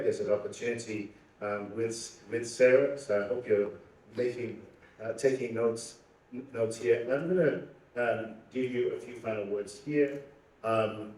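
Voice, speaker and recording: A man moderating a panel, asking questions with hesitant pauses and fillers. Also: medium pitch, mellow. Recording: very echoey hall, mic at a distance.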